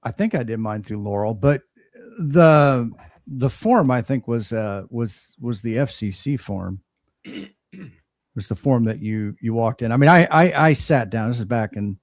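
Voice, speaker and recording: An older man chatting on a ham radio show, halting and repeating words as he thinks. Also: mid-range voice, lively intonation, no echo, studio quality. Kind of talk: casual chat.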